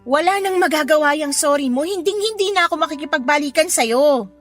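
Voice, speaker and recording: A woman's voice: high voice, lively intonation, fairly quick, faint music behind. A woman acting as a fed-up, scornful young mother scolding her ex in a Filipino radio drama. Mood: exasperated, furious. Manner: sharp, scolding, sarcastic.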